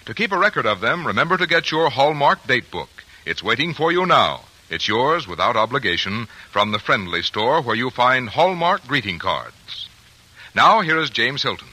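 A man announcing on a 1940s radio program, reading the sponsor messages in a smooth, upbeat way. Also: mid-range voice, very animated, normal speed, bright, forceful tone, low-quality recording. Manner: smooth, upbeat commercial delivery. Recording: 1940s radio broadcast with music underneath.

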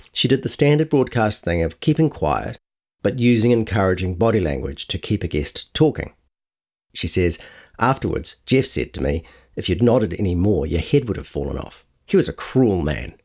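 A man's voice: fairly low pitch, darkish tone. A man reading a scripted feature article aloud as narrator, acting out quotes as he goes. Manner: acts out quotes within narration. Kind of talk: scripted audio long-read narration.

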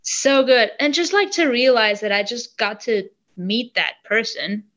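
A woman's voice: a little high, animated, a little slow, a bit bright, muffled recording. A young woman from Armenia, a native Armenian speaker, talking about her songwriting in an interview, hesitant and restarting her sentences as she searches for words. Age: young adult. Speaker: singer. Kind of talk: casual interview.

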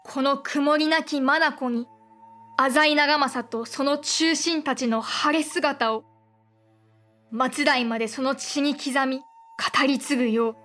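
Resolute noble lady, very high, slow measured voice, archaic courtly lines, soft music behind. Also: steady tone, full character voice. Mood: resolute, pleading. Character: dignified, devoted samurai lord's wife and mother. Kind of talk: Japanese period audio drama acting.

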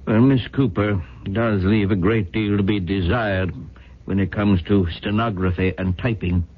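A prim male office manager in a vintage radio drama. His voice is low and rough, his manner formal and deferential. The broadcast sounds dull and old. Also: steady tone, a little slow. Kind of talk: 1970s radio drama acting.

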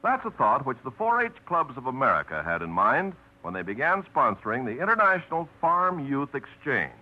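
A male announcer giving a formal, earnest broadcast announcement in a smooth delivery. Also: medium pitch, wildly swooping pitch, normal speed. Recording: dull old radio broadcast.

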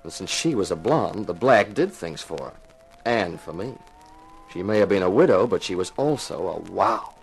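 Low-voiced, wry hard-boiled investigator. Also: male voice, animated, normal speed, breathy edge, exaggerated voice. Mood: sardonic narration. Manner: dry, wisecracking. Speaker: narrator and lead actor. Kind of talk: 1950s radio detective drama, noir narration. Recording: slightly noisy 1950s radio recording.

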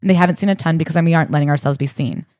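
A woman's voice: low voice, racing, dark tone, no echo, clean studio sound. A woman co-hosting a casual book-discussion podcast, earnestly reading passages aloud and talking them over. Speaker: podcast co-host.

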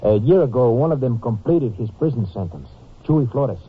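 Earnest Mexican policeman: mid-pitched, polite formal phrasing, Mexican accent, vintage radio drama with thin, hissy sound. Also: animated, normal speed, breathy edge. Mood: formal, informative. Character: courteous, earnest male Mexican police officer, eager tracker. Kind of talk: old-time radio drama acting. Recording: noisy, narrow-band old radio recording.

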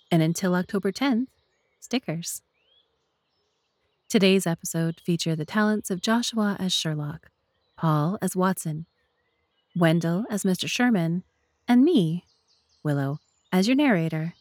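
A woman's voice: lowish voice, lively intonation, unhurried, pauses often, a little mellow, no room sound. A woman reading scripted narration as narrator and host of a story podcast.

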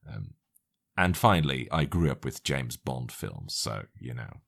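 Interview guest: a man with a very deep voice and a British accent with a Dutch trace. Frank and dry. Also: lively intonation, a little slow, vocal fry. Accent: Dutch-tinged British English. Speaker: YouTuber guest. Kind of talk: interview conversation.

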